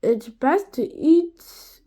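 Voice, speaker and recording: A woman voicing a pushy school cook who talks slowly in a sugary, insistent way. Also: sits high, lively intonation, warm tone, exaggerated voice.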